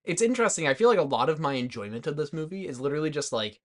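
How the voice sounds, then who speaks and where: A man's voice: fairly high pitch, expressive, racing. A man co-hosting a podcast, chatting casually about movies in a loose, hesitant way with lots of "like". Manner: hesitant, loosely phrased, frequent "like". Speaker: podcast co-host.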